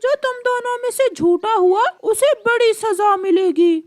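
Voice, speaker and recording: A woman's voice: very high voice, unhurried, darkish tone, voice pushed up. A woman voicing a stern, commanding parrot king in a children's cartoon, declaiming imperiously. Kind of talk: children's cartoon story acting.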